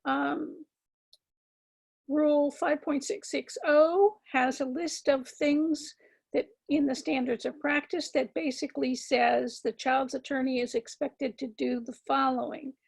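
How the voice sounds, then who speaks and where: A woman with a scratchy voice giving a measured, emphatic legal lecture to attorneys, with frequent ums and words repeated for stress. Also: high voice, fairly even intonation, gravelly. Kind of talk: continuing-education lecture.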